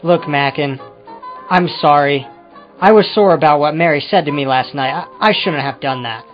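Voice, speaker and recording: A man's voice: on the high side, moderate pace, close to an everyday voice. Man playing touchy ex-con in muffled radio drama. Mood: apologetic, pleading. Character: evasive, touchy male ex-convict confessing to a detective. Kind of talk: old-time crime radio drama dialogue. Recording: muffled amateur radio-drama recording with music.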